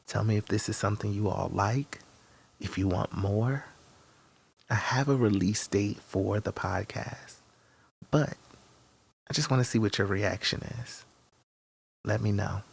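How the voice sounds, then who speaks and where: A man hosting a podcast, giving a casual introduction in short, halting phrases. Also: fairly low pitch, creaky, breathy edge. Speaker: podcast host.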